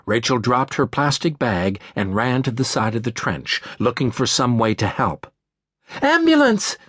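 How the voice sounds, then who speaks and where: A man's voice: medium pitch, moderate pace, fairly bright tone, breathy edge, dry recording. A man reading a thriller audiobook as scripted narration, close on a studio microphone. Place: dry studio.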